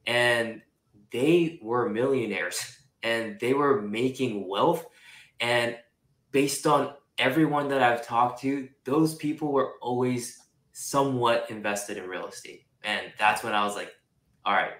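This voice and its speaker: A young man from Japan who grew up in Singapore, a real estate investor talking casually as an interview guest, relaxed and chatty with lots of "like" fillers. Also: medium pitch, slow, echoey room, poor audio. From Japan, raised in Singapore. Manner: relaxed, chatty, many "like" fillers, laughs easily.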